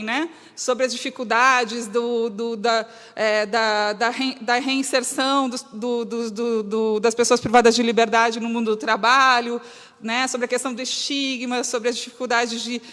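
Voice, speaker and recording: High-pitched gaúcha woman speaking in a hall. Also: fairly bright tone. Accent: gaúcho southern Brazilian. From Rio Grande do Sul, Brazil. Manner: earnest, emphatic, often breaking off and restating. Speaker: guest speaker. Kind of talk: public talk, semi-spontaneous lecture. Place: reverberant hall, live talk to an audience. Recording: echoey hall with long reverb.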